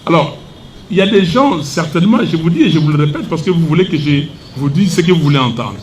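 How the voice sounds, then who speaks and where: An older man from Congo, whose first language is Lingala, answers a reporter with rising indignation and heavy emphasis. His voice sits high for a man. Faint noise hums in the background. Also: lively intonation, fast. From Democratic Republic of the Congo. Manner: indignant, emphatic, switches between French and Lingala. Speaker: opposition politician at press conference. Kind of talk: heated press conference answer.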